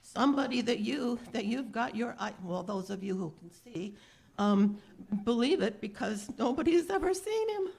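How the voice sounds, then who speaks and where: A woman playing a stubborn, streetwise apple seller in a 1930s radio drama, with folksy, emphatic speech and a gravelly, husky voice. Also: a little high, expressive, moderate pace, darkish tone, exaggerated voice. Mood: insistent, excited. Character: feisty streetwise apple-selling beggar woman, stubborn, rallying.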